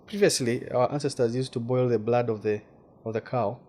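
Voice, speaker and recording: A man's voice: medium pitch, fairly even intonation, a little fast, a little mellow. A man from Western Uganda with a Ugandan accent, speaking as a guest journalist in a casual interview and often repeating words while he searches for them.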